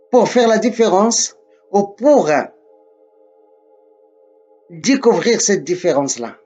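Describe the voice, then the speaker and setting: A man from Morocco giving a slow, deliberate spoken lesson, often repeating and correcting himself. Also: very high-pitched, a little mellow, muffled recording.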